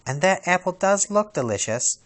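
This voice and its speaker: A man voicing a sweet, gentle young storybook princess with an innocent, trusting manner. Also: high voice, animated, moderate pace, voice pushed up. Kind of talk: storybook character voice.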